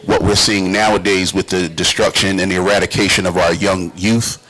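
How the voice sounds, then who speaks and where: A man's voice: deep voice, steady tone, normal speed, fairly bright tone, rough recording. A man who hosts and acts, talking casually in an emphatic, slangy way on a talk show. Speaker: talk show host and stage actor.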